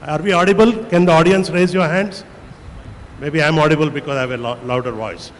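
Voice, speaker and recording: A man with an Indian English accent moderating a panel, brisk as he directs questions. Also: a little high. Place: large conference hall. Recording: echoey hall, faint noise.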